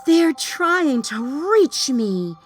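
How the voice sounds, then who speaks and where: Woman voicing a high, eager storybook Moon. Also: sing-song, extremely slow, faint music behind, heavily acted. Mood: marveling. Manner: exclaiming, encouraging, sing-song. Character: the Moon, a hopeful, eager, lonely female celestial friend. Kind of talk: children's storybook read-aloud.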